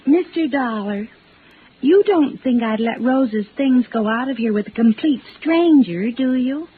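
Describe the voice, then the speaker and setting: Naive, chatty, huffy roommate. High voice, earnest delivery, faintly noisy narrow-band vintage radio sound. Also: female voice, expressive, measured pace. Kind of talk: old-time radio drama acting. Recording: muffled vintage radio recording, faint noise.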